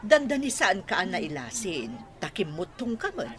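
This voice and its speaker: A woman playing an emphatic, expressive older neighbourhood lady in a radio drama. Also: medium pitch, moderate pace, close to an everyday voice. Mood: delighted, chatty. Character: loud, nagging older landlady, later a gushing godmother. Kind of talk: radio drama acting in Ilocano.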